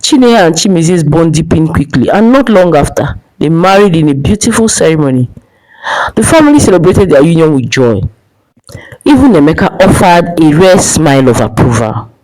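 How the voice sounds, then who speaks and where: Woman storyteller with lively, swinging pitch. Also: brisk, rough recording. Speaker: storyteller, narrator. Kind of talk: dramatic folktale narration.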